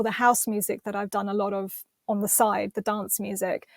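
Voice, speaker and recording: A woman's voice: mid-range voice, no echo. A woman with an English accent, a singer chatting as a podcast guest in an enthusiastic, rambling way with many small restarts. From London, England. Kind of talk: casual interview chat.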